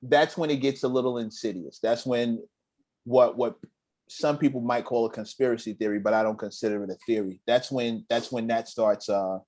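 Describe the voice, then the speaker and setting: A middle-aged man hosting a casual talk show, speaking emphatically and getting animated as he recites lyrics. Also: medium pitch, normal speed. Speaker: podcast host. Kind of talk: casual chat.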